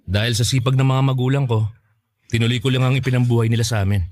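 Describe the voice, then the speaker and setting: A man's voice: mid-range voice, steady tone, fast, a little mellow, natural voice. A man acting an earnest, humble mango farmer in a Filipino radio drama, speaking in a sincere, conversational way.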